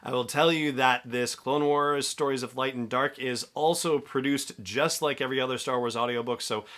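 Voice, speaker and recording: A man's voice: mid-range voice, steady tone, moderate pace, a bit bright. A man giving a conversational solo podcast review in a chatty, enthusiastic way with emphatic stresses.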